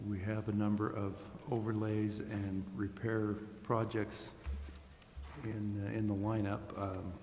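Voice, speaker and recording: A low-voiced man explains things in a measured, hesitant way with little change in tone. He is in an echoey chamber with clear background noise. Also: level tone, darkish tone. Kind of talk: informal explanation at a public meeting. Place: large council chamber.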